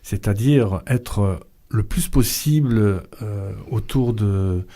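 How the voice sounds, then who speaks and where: A middle-aged man guest on a radio interview, talking slowly and hesitantly with many fillers and restarts. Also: fairly low pitch, dark tone. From Vietnamese family background. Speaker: author and guest.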